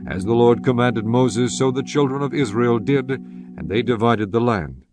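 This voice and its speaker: Steady male Bible narrator. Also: medium pitch. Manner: measured, steady reading. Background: guitar music.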